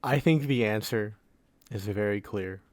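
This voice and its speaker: A man talks casually as a podcast panelist. His voice sits a little on the low side. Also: measured pace. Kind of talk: casual discussion.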